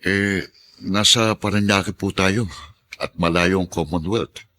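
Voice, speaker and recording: An actor playing a worried, polite male taxi driver with a husky voice in a radio drama. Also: deep voice, fairly even intonation, unhurried, pushed and bright.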